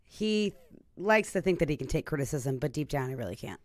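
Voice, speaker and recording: A woman's voice: low voice, expressive, brisk, dry recording. A woman joins a casual podcast as a guest and speaks frankly. She teases the hosts playfully.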